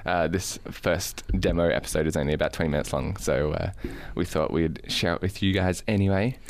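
An Australian man banters casually as a podcast co-host. His voice sits a little low and the sound is very dry, without room echo. The humour is dry and tongue-in-cheek. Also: fairly even intonation, a little fast, creaky.

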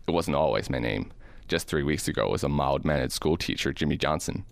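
Hardboiled private eye narrator played by a man, very low, creaky, world-weary, deadpan, for noir radio drama. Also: no echo.

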